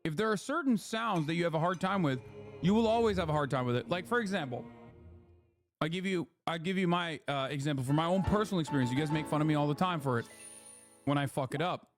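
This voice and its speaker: A man's voice: on the high side, fast, soft background music. A man, a streamer giving blunt, profane, mocking reaction commentary. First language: Turkish.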